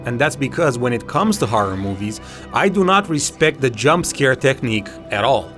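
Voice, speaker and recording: A man's voice: mid-range voice, very animated, moderate pace, a bit bright. A man giving casual, opinionated commentary as a video host, talking conversationally and swearing freely. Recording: music behind the voice. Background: background music.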